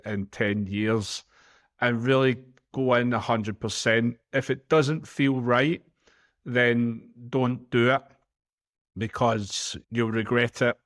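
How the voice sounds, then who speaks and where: A man from Glasgow, co-hosting a podcast and giving plain-spoken, practical advice. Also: mid-range voice. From Glasgow, Scotland. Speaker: podcast co-host. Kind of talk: casual podcast chat.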